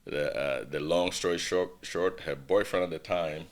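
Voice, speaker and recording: A middle-aged man from Ghana, a podcast guest, telling his life story in a relaxed way, with lots of "you know" and "right". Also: low-pitched, moderate pace. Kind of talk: casual life-story interview.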